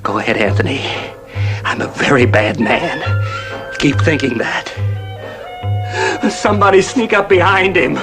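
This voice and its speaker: A man's voice: fairly high pitch, sing-song, measured pace, pauses often. An actor playing a drunken, desperate townsman in a 1960s television drama, bitterly goading and defiant. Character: drunken desperate townsman defying a feared child tyrant. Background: dramatic music.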